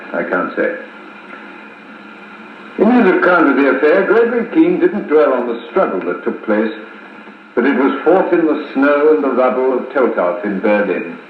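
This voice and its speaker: A man acting a formal, deliberate, middle-aged colonel narrating grim events in a 1950s radio serial. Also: medium pitch, phone-quality sound, close to an everyday voice. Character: measured, authoritative British intelligence colonel recounting grim events. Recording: scratchy, echoing 1950s wire recording.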